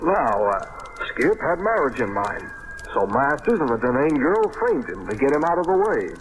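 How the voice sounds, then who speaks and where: Animated old-radio police lieutenant. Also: male voice, medium pitch, normal speed. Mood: explaining. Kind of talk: old-time radio crime drama acting. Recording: noisy vintage radio broadcast recording.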